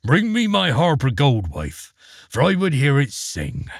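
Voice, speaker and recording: A man voicing a huge, menacing male ogre who chants and growls his words slowly. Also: huge pitch swings, creaky, breathy edge, no room sound, studio quality, full character voice. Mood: hushed. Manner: slow booming growl, drawn-out chant.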